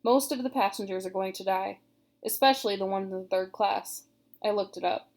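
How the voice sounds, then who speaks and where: A woman reading aloud, voicing an impulsive young girl who talks quickly and defensively. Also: medium pitch, darkish tone, close to an everyday voice. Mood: matter-of-fact.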